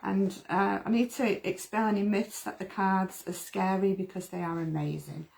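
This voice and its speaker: A middle-aged woman speaking in a casual, friendly way, with a gravelly edge to her voice. She pauses often with ums as she explains her workshops. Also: medium pitch, steady tone, darkish tone, low-quality recording. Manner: friendly, hesitant, lots of ums. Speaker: tarot teacher and medium. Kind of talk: casual chat.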